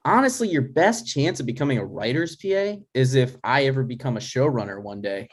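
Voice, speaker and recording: Guy reading dry skeptical adult son, deadpan, kinda halting, middle pitch, comedy script. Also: husky. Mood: dry, teasing. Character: dry, skeptical grown son, struggling writer. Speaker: actor in a table read. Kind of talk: conversational comedy script read aloud.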